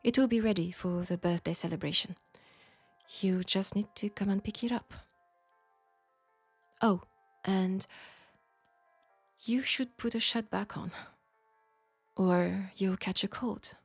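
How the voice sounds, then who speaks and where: Woman acting a slow, breathy French seamstress. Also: medium pitch, no echo, soft background music. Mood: politely composed. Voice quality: soft, breathy. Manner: hushed, deliberate, with pauses and breathy sighs. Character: frank, perceptive young Parisian seamstress, gently reproachful. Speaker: audio roleplay performer. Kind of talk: intimate steampunk audio roleplay acting.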